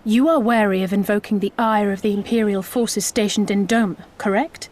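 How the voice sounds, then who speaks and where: An actress playing a composed, daring rebel envoy in a video game, speaking in a measured, formal, persuasive way. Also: female voice, medium pitch, fairly even intonation, a little mellow, natural voice. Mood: resolute. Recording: background music.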